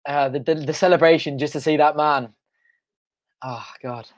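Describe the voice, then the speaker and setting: A young man hosting a live football watch-along from home, excitable and shouting, his voice going hoarse. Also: a little high, moderate pace. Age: young adult. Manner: excitable, shouting and chanting at goals. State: losing his voice. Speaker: fan channel host. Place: home front room.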